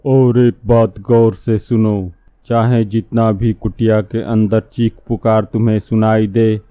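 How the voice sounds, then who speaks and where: Cartoon villain, male actor: scheming bossy fake holy man, lowish voice, narrow muffled recording. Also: flat delivery, no room sound, natural voice. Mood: bossy, scolding. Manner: commanding, slow, scolding. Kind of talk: Hindi cartoon storybook acting. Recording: muffled, phone-like narrow-band sound.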